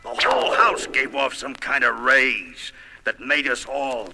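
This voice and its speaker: An actor playing a gruff, wisecracking brawler of a superhero on a 1970s record, telling his story in sardonic tough-guy slang. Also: medium pitch, big pitch swings, a little slow, over music. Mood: wry, boastful.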